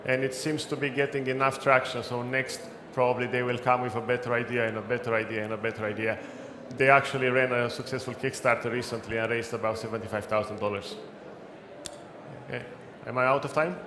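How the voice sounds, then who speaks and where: A man with a non-native English accent speaks at a conference event in a brisk, practical way, adding light jokes. His voice bounces around an echoey room. Faint background noise runs underneath. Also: mid-range voice, fairly even intonation. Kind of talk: talk with audience questions. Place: event hall.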